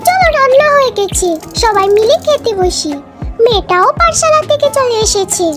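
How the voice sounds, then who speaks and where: A woman's voice: very high-pitched, animated, normal speed, loud music behind the voice. A woman voicing a caring, practical animal wife with a girlish voice in a cartoon. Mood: caring, advising. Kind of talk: cartoon character voice acting.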